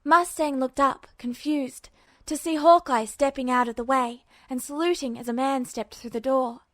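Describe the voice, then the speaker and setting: A woman reading fan fiction aloud as a podfic, with even, attentive storytelling narration. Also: high voice, normal speed, no room sound. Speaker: podfic reader.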